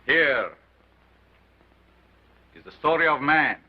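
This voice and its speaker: An actor playing a grandiose sideshow scientist who declaims in a pompous, theatrical way, on a dull old film soundtrack. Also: male voice, on the high side, animated, takes it slowly, husky, exaggerated voice. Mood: solemn. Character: grandiose male carnival scientist, commanding and theatrical.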